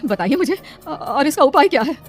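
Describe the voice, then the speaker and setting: An anxious, brave village aunt acted by a woman in a horror cartoon. She talks fast in a high, urgent, pleading voice over faint music. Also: very animated. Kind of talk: Hindi horror cartoon voice acting.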